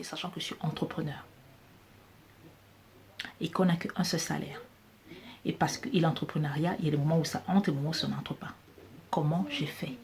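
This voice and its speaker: Low-voiced Cameroonian French woman, emphatic. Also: a little mellow. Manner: emphatic, repeats key phrases for stress. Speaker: business coach vlogger. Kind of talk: motivational talk to camera.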